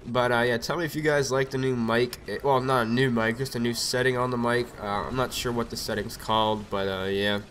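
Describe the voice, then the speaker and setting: A young man with a cold and a slightly raspy voice rambles through casual gameplay commentary, heavy on fillers and asides. He sits at a home setup speaking into a desk mic, with faint background noise. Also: medium pitch, fairly even intonation, fairly quick, hardly any pauses, a bit bright. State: has a cold, a bit raspy. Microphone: USB desk mic.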